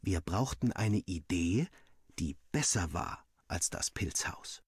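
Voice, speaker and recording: A man reading a first-person story as a narrator, acting out the dialogue in an animated way. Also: low voice, slow, slightly rough, no echo, studio quality. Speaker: audiobook reader.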